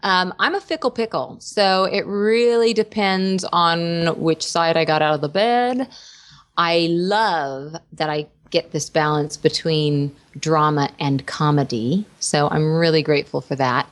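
A woman's voice: sits low, a little slow. A woman voice actress chatting playfully in a casual interview, laughing often. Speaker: voice actress, guest.

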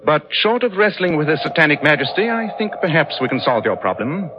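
An actor playing a commanding, keen-witted English detective with a British accent, crisp and theatrical in an old-time radio drama. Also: male voice, fairly high pitch, big pitch swings, fairly quick. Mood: measured, inquisitive. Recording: muffled vintage radio recording, faint noise.

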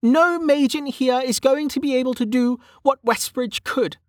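A woman's voice: high-pitched, normal speed, no echo, clean studio sound, voice pushed up, close to an everyday voice. A woman voicing a bitter, weary, hot-tempered and foul-mouthed woman in an audiobook, snappish and scornful.

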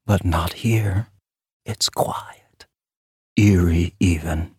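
An actor playing a flippant, showy true-crime tour guide, narrating with wry theatrical flair. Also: male voice, sits low, noisy background. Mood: wry, eerie. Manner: theatrical, wry, drawn-out storytelling.

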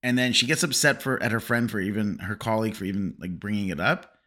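A man's voice: on the low side, fast, bright, forceful tone. A man co-hosting a casual film podcast, rambling with many fillers. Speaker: podcast co-host.

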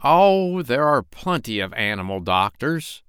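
Man playing a mild, curious, animated gentleman doctor. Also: medium pitch, measured pace, smooth, no room sound, clean studio sound, pitched above the performer's own voice. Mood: surprised. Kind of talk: children's storybook character voice.

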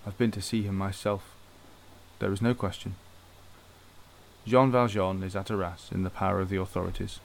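A man's voice: low voice, level tone, normal speed, vocal fry, long pauses, slight background noise, rough recording. An actor playing a stern, rigid male police inspector with formal, severe speech. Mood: grave. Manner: formal, severe, self-condemning declamation. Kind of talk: radio drama acting.

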